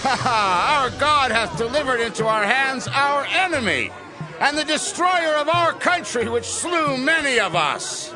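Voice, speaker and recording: A man's voice: very high-pitched, sing-song, measured pace, music in the background, crowd noise, exaggerated voice. A man playing a triumphant, mocking Philistine reveller in a dramatized audio Bible.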